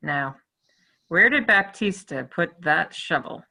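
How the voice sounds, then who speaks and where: A woman's voice: on the low side, animated, slow pace, creaky, pushed and bright, exaggerated voice. A woman playing a loyal, upright medieval French soldier in a play reading, with emphatic, archaic formal diction. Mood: dry resolve. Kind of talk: stage play table read.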